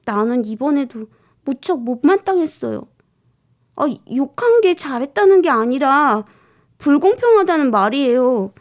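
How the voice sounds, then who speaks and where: A woman voicing an indignant third-grade schoolboy who tells his story in an earnest, plaintive, confiding way, as in an expressive children's storybook reading. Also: high voice, measured pace.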